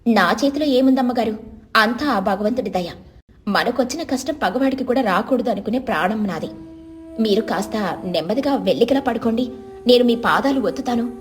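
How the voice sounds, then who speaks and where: Woman as a high-voiced, humble village woman. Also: fairly quick, lightly acted. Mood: humble, gentle. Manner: respectful, reassuring. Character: kind, humble, devoted poor village woman.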